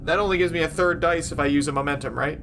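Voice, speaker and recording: Higher-pitched man at a game table. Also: fairly even intonation, quick pace, fairly bright tone, a little hiss or hum. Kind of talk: casual game-table talk.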